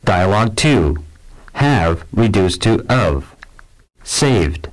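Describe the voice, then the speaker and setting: A man's voice: fairly low pitch, very animated, rough recording. A man reading instructions and example words for a language course. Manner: slow, measured announcing. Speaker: course narrator.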